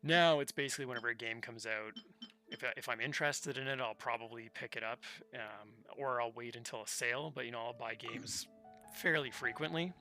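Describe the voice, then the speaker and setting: Casual male streamer over clear music. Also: mid-range voice, fairly quick, bright, forceful tone. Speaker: game livestreamer. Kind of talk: casual chat while gaming. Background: game music.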